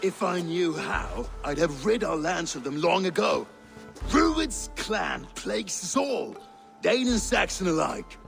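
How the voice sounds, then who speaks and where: An actor playing a world-weary, cynical Danish steward with a sardonic drawl in a video game scene. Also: male voice, high-pitched, sing-song, slow, creaky, a bit bright, soft background music, heavily acted. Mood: resigned. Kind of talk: dramatic video game acting.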